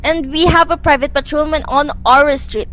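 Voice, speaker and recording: A woman's voice: sits high, steady tone, normal speed, fairly bright tone, rough recording. A young woman playing an anxious, demanding, self-pitying invalid wife in a suspense radio drama, insistent and fretful. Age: young adult. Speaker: student radio drama actor.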